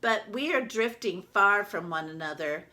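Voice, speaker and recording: Woman reading as a bright, expressive little toy duck. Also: medium pitch, normal speed. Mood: wistful storytelling. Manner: expressive, dramatic storybook narration. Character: small yellow plastic toy duck, timid, lonely storyteller. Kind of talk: children's picture book read-aloud.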